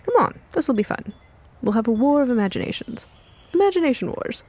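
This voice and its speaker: A woman's voice: a little high, sing-song, fairly quick, a little mellow, voice pushed up. A woman voicing a playful, spirited older woman with a secretive streak in an audio story. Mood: excited, playful. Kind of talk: audio fiction character dialogue.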